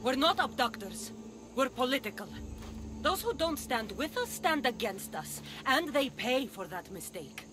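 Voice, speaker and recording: Fierce, scornful, high-voiced warrior woman. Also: sing-song, a little slow, music in the background. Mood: mocking contempt. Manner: contemptuous, threatening, taunting. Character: fierce young Greek demigod woman, cold, scornful cult warrior. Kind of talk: video game dramatic acting.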